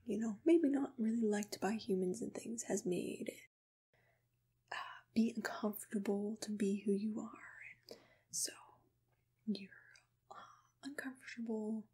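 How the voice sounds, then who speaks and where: A woman whispers softly and gently in an ASMR roleplay, asking questions in an attentive, breathy voice close to the microphone. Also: medium pitch. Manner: soft-spoken, gentle, attentive personal tone. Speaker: ASMR roleplayer playing a monster matchmaker. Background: computer keyboard typing.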